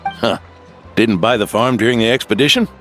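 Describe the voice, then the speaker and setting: An actor playing a wry, probing old veteran knight captain in a game. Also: male voice, mid-range voice, a little fast, fairly bright tone, soft background music, natural voice. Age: older.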